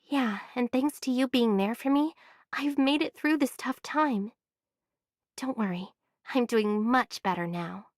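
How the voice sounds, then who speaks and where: A thoughtful young heroine played by a woman with a fairly high voice, caring and soft-hearted. It is anime game acting with a dry, studio-close sound. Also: unhurried, dry recording, lightly acted. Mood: concerned, tender.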